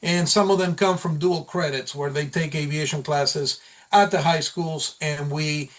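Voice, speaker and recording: A man giving an informal slide presentation as a college program instructor at a meeting, speaking haltingly with repeated and restarted phrases. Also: a little high, steady tone, fairly bright tone, low-quality recording.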